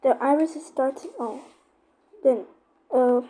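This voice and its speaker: A female speaker giving a casual, informal explanation, often halting and searching for words. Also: high-pitched, warm tone, low-quality recording. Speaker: YouTuber explaining horror lore.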